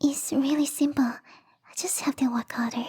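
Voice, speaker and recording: A woman voicing a lonely, bubbly young female snow spirit, soft and whispery, in a sweet, pleading ASMR roleplay. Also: high voice, steady tone, moderate pace, natural voice. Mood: sad, resigned.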